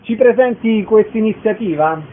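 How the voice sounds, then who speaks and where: Male radio interviewer, slightly high voice, thin telephone-band sound. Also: moderate pace, phone-quality sound, slight background noise.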